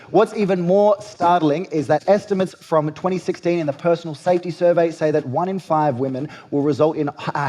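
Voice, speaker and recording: Australian male late-night host, young adult, mid-high voice, sardonic stand-up monologue delivery. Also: a little mellow. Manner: sardonic, punchy delivery with rising punchlines. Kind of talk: satirical comedy monologue.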